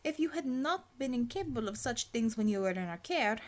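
A woman's voice: a little high, expressive, brisk, pitched above the performer's own voice, close to an everyday voice. A woman voicing a stern, imperious Russian woman commander in an audiobook story, with a Russian accent and clipped, formal speech. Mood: cool, dry.

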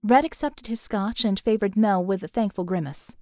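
A woman audiobook narrator reads scripted fiction. Her pitch sits in the middle of the female range, and the recording is very dry, with no room sound around the voice. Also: moderate pace, darkish tone, dry recording.

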